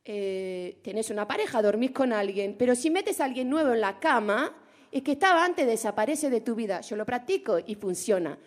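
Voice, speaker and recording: Woman voicing a nosy chatty porteña, Rioplatense accent, high and swooping, teasing comic monologue. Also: lively intonation. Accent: Rioplatense Spanish put on. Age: 40s. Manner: chatty, teasing, drawn-out vowels.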